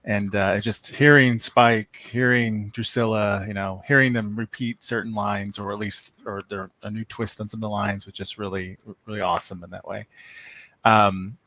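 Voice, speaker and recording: A man's voice: lowish voice, fairly even intonation, normal speed, sharp tone, dry recording. A man chatting casually as a fan panelist, offering informal opinions and qualifying them as he goes.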